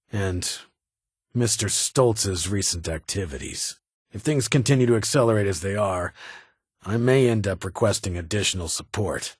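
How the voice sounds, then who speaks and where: An actor playing a stern, guarded military security leader in a video game, speaking formally in clipped, commanding phrases. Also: male voice, on the low side, slightly rough, no echo, clean studio sound. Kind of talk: video game character dialogue.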